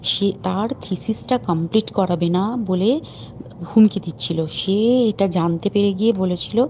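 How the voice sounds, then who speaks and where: Woman, mellow tone, indignant and emphatic, informal telling over a dull phone recording. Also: mid-range voice, unhurried. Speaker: caller. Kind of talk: informal spoken account on a phone call. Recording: muffled, noisy phone call recording.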